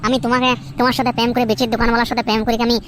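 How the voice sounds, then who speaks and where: Woman voicing a fast-talking, haughty teenage schoolgirl. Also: on the high side, flat delivery, slight background noise, natural voice. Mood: scornful, angry. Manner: scolding, foul-mouthed. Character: brash teenage schoolgirl, haughty, threatening, quick-tempered. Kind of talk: comedic cartoon voice-over.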